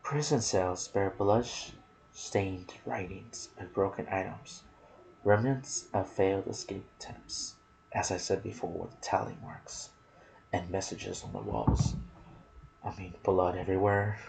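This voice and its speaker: A man narrating game lore in ASMR style, soft and breathy, often slipping toward a whisper, musing aloud in casual asides. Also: deep voice, steady tone, slow, a little hiss or hum, low-quality recording. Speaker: gaming video narrator.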